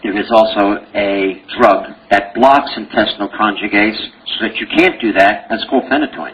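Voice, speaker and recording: A man's voice: fairly low pitch, moderate pace, dull audio, slight background noise. A man teaching a medical exam review lecture, joking and quizzing his audience with rhetorical questions.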